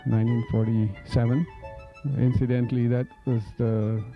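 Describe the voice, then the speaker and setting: A man's voice: mid-range voice, level tone. Marathi-speaking man from India. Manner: measured, with fillers. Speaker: interviewee. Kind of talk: oral history reminiscence. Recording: dull lo-fi recording with music underneath.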